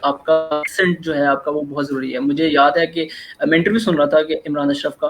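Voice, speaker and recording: A man's voice: a little high, poor audio. A man with a Pakistani Urdu accent hosting an online discussion over a video call, talking hesitantly with many fillers and restarts. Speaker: host.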